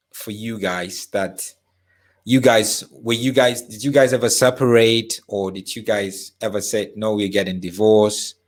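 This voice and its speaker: A male interviewer who asks sympathetic questions and rephrases them several times as he goes. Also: medium pitch.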